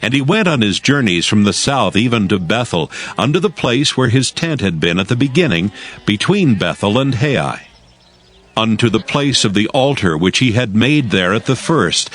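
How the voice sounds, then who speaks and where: A man narrating scripture as a scripted dramatized audio reading, in a solemn, measured storytelling manner. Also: mid-range voice, sing-song. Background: music.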